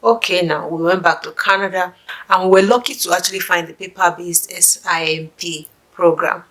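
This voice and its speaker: A woman's voice: on the low side, fairly even intonation, moderate pace, fairly bright tone. A middle-aged woman from Nigeria with a Nigerian accent, chatting casually to camera as a vlogger for immigrants. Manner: chatty, musing, with asides and restarts.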